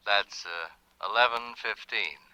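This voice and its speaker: Deep, hard-edged hired killer. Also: male voice, measured pace, pushed and bright, clean tone, no echo. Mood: cold, businesslike. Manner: clipped, repeats reassurances, casual about violence. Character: gruff hired killer, nervously eager, taking orders. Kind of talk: 1940s radio thriller acting. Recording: vintage radio recording, dry.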